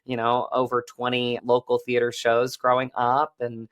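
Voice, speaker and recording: American man chatting casually, medium-pitched voice. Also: completely flat delivery, normal speed, fairly bright tone, clean tone. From Virginia, United States. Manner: conversational, often checks in with "right?" and "you know". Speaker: guest, actor. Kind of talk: casual interview chat.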